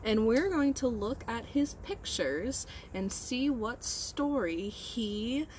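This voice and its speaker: A woman's voice: a little high, expressive, slow, darkish tone, a little hiss or hum. A woman teacher leading storytime for young children, explaining things simply and prompting them with questions. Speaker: early-grade teacher.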